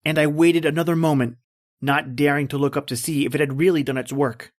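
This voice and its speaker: An actor playing a tense, determined hunted man, narrating his ordeal gravely and deliberately as in an old-time radio drama. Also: fairly high pitch, fairly even intonation, natural voice. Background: background music.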